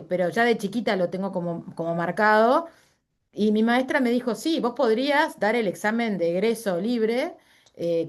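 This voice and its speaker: A middle-aged woman with a Uruguayan Spanish accent, speaking as a guest in a casual interview and telling personal stories with frequent fillers and self-corrections. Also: mid-range voice.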